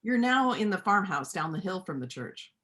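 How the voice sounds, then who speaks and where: A woman acts out a stern rural veterinarian in a stage play reading. Her pitch moves in a lively way, yet the words are terse and matter-of-fact. She comes across as practical and unsentimental. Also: moderate pace. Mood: brisk, practical. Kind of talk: readers' theater play acting.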